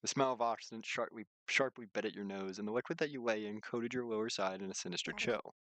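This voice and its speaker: A man's voice: on the low side, quick pace, fairly bright tone, no echo. A man reading a visual novel aloud as a streamer, mixing narration with casual commentary.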